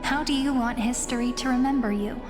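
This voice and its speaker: Game narrator role: reflective female chronicler, actress, fairly high voice, earnest storytelling, music behind. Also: brisk, in a large hall, natural voice. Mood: wistful, reflective. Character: reflective female chronicler recalling her ruler's life.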